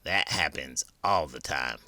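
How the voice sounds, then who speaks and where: A man voicing a ravenous, food-loving tiger sidekick with a gruff, drawn-out delivery for a children's show. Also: rumbling low, pushed and bright, no echo, voice pushed down, heavily acted. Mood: gruff, friendly. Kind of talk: comic children's show banter.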